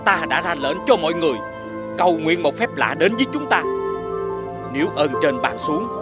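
Benevolent fairy-tale king, voiced by a man, fairly high, animated delivery, formal, music underneath. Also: normal speed, lightly acted. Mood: earnest, regal. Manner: formal, declamatory. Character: benevolent king addressing his subjects. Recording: music behind the voice.

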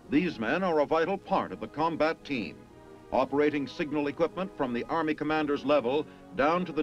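An American man reading formal, emphatic scripted narration in the manner of a 1950s military documentary, with measured phrasing. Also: on the high side. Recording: dull vintage film soundtrack with music.

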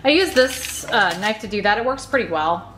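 A woman's voice: medium pitch, animated, moderate pace, a bit bright, reverberant, soft background music, low-quality recording. A woman hosting a home cooking channel, talking casually and chattily as she walks through a recipe step by step. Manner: chatty, friendly, easygoing explanations. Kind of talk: casual recipe walkthrough voice-over.

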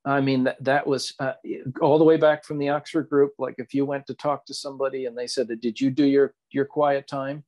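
A man co-hosting a podcast in casual, reflective discussion, halting as he searches for words. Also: mid-range voice, little pitch movement, darkish tone. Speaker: podcast co-host.

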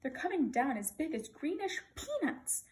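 An earnest young storybook page boy voiced by a woman talks in a fairly high voice. He sounds anxious and urgent, his lines full of exclamation. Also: very animated, moderate pace. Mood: alarmed, uneasy.

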